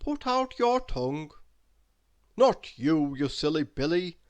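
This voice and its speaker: A woman voicing a brisk male storybook doctor, pondering and matter-of-fact, a little bossy. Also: sits low, big pitch swings, a little mellow, no echo, full character voice.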